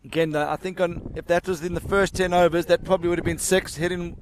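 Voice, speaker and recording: A man's voice: high-pitched, level tone, quick pace, slight background noise. A man giving chatty, explanatory live commentary on an amateur cricket match. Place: outdoors at a cricket ground.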